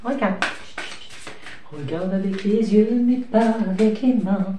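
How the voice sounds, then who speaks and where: A woman's voice: medium pitch, slow pace, dark tone. A woman with a cold, her voice a little stuffy and interrupted by coughs, talks through tarot cards in a meandering, hesitant way full of fillers. She sounds affectionate as she talks to her cat and child. She is recorded from some way off in a room with noticeable echo and a faint hiss. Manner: rambling, hesitant, with many fillers. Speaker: tarot card reader. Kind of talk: casual card-reading chat. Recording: echoey room, distant mic, light noise.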